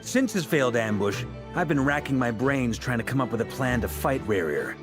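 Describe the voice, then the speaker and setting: Man acting as animated, shrewd knight strategist. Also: mid-range voice, normal speed, music in the background, close to an everyday voice. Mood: breezy, persuasive. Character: easygoing shrewd adult male knight strategist leading a council. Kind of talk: polished English video game voice acting.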